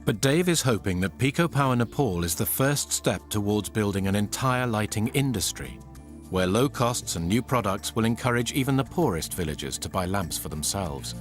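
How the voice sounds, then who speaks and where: A man's voice: on the low side, animated, normal speed, soft background music. A man reading scripted documentary narration.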